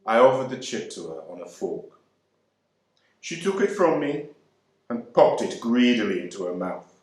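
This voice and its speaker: A man giving a first-person dramatic reading of a novel monologue, measured and expressive. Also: on the low side. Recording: roomy echo.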